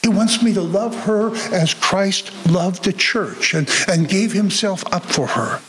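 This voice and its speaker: Older pastor preaching, high-pitched and slow. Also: male voice, fairly bright tone, low-quality recording. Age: older, about 70s. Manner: earnest, deliberate phrasing with repeated words. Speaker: Lutheran pastor. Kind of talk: sermon. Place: church sanctuary, indoors. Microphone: gooseneck lectern microphone.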